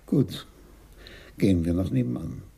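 A low, husky older male police commissioner in a German radio crime drama. He is methodical and polite, with a dark, mellow tone. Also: very animated, measured pace, exaggerated voice. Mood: decisive. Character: courteous, observant senior police commissioner, an older man. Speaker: actor.

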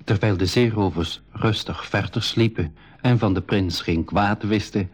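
A man narrating a school radio adventure play in a measured, explanatory way. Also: on the low side, slightly rough, soft background music. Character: composed male storyteller explaining an adventure to listeners. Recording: slightly dull 1980s radio recording.

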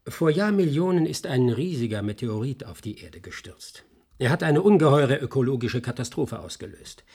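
An actor playing a sharp, persistent male investigator in a German radio play, explaining in a measured, insistent way with a slightly husky voice. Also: mid-range voice, sing-song. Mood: explanatory, assured.

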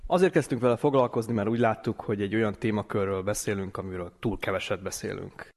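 A man's voice: fairly low pitch, darkish tone. A man giving an interview as an expert, arguing his points with rhetorical questions. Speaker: interviewed company president and legal expert. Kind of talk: interview, explaining.

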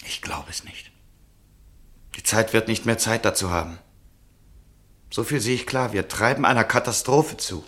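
An actor playing an anxious, resigned nobleman and husband, speaking in earnest, measured, formal German radio drama style. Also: on the low side, lively intonation, fairly bright tone. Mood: gloomy, resigned. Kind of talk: German radio play acting.

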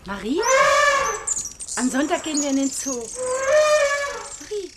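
A brothel madam mum, singsong high voice, slow and swoopy, keeping up appearances, radio drama. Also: female voice, very animated, heavy background noise. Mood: falsely cheerful. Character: chatty brothel-keeping mother, brightly keeping up appearances.

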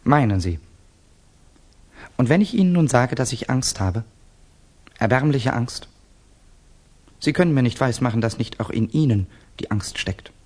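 Radio drama casting: young Russian student, mid-range voice, brooding loner, bitter and proud, rhetorical intensity. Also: male voice, medium pitch, lively intonation, a little slow, pauses often, a little mellow. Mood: tense, bitter. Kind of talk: 1960s German radio drama acting.

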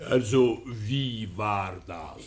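A man's voice: on the low side, very slow. A man playing a pompous, self-righteous professor and moral crusader in a German radio play, didactic and easily affronted. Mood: uneasy.

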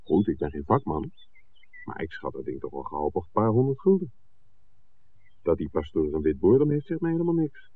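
A man's voice: sits low, big pitch swings, a little fast, long pauses, exaggerated voice. An actor playing a suspicious, stubborn man in a 1960s radio drama, probing and plain-spoken. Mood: doubtful, suspicious. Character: suspicious, stubborn man scouting a film location. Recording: tinny, muffled 1960s radio recording.

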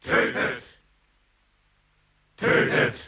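An actor playing a fierce military commander in an avant-garde radio drama, hammering out terse orders and slogans. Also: male voice, drawn-out words. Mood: frenzied commanding. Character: fierce male war commander, inciting soldiers to kill. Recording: distant, echoing, dull sound.

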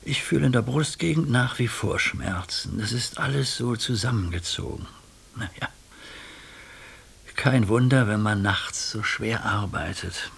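An actor playing an educated, sceptical German jurist in a radio play, talking in a measured, bookish, questioning way with a gravelly, husky voice. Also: male voice, medium pitch, fairly bright tone, full character voice. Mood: weary, brooding.

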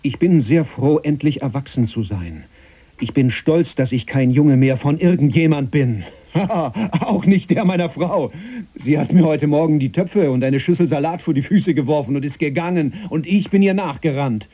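An actor playing a stubborn, defiant dreamer who longs to escape, in a German radio play, speaking emphatically and declamatorily. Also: male voice, a little high, moderate pace, warm tone, husky. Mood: proud, defiant. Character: defiant dreamer, grown man rejecting inheritance, longing to escape.

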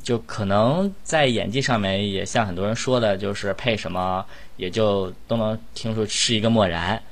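A man's voice: on the low side, normal speed. A man hosting a casual online group chat, talking in a rambling, self-effacing way. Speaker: host and amateur voice actor.

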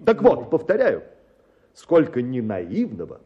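An actor playing a pompous, self-styled writer holding forth to a bachelors' club, declaiming grandly in a radio play. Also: male voice, medium pitch, wildly swooping pitch, a little slow. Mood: boastful. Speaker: speaker at a bachelors' club meeting. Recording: slightly dull radio recording, crowd murmur. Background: murmuring male audience.

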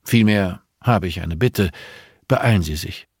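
A man narrator plays an older nun in an audiobook crime story. The voice is slightly husky and close in a dry space, measured and solemn. She is a grieving mother who accuses quietly and speaks in riddles. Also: low voice, no room sound, full character voice. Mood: resigned, ominous.

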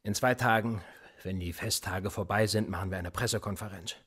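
An actor playing a sardonic, probing police interrogator in a naturalistic radio drama, speaking in a deliberate, controlled way. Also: male voice, fairly low pitch, fairly bright tone, breathy edge. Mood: cold, menacing. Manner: deliberate, probing, dryly ironic.

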